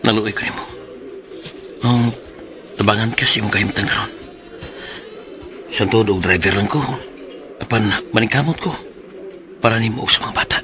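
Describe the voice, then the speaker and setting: A man's voice: lowish voice, normal speed, long pauses, lightly acted. A man playing an earnest, hesitant working-class driver in a radio drama, with a Cebuano Filipino accent. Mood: earnest, tender. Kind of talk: Philippine radio drama acting. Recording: noisy, with ambience and bird sounds.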